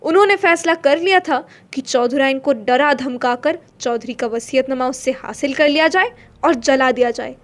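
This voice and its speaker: A woman's voice: high voice, normal speed, few pauses. A woman narrating a literary story in a dramatic audio-play style. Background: faint music.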